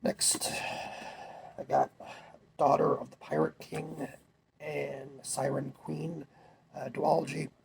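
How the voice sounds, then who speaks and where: Gravelly young man, congested. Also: fairly high pitch, slow, long pauses, a little hiss or hum, rough recording. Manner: relaxed, rambling, many fillers and restarts. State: congested, recovering from a cold. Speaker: vlogger. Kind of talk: casual chat.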